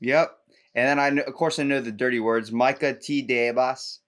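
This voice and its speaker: Man, podcast host, mid-range pitch, joking, rambling, animated, casual chat. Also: normal speed.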